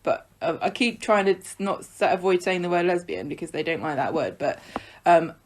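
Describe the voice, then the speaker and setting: A woman's voice: lowish voice, fairly quick, slight background noise. A woman co-hosting a podcast, chatting casually and frankly about a soap opera, often trailing off mid-thought. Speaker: podcast co-host.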